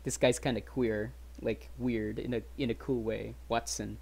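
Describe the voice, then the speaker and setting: A man's voice: mid-range voice, level tone, normal speed, gravelly, darkish tone. A man chatting casually as a livestreamer between readings, with informal, somewhat hesitant asides. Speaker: streamer reading aloud. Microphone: webcam stream setup.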